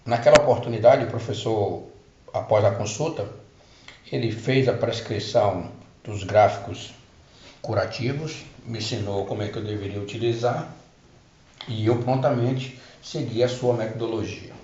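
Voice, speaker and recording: A man's voice: lowish voice, fairly even intonation, a little mellow. A middle-aged man telling viewers about his spiritual treatment, speaking off the cuff with many restarts. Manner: unscripted, halting with restarts. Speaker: spiritual healing presenter. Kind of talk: personal testimony to viewers. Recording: roomy, dull lo-fi sound with faint noise.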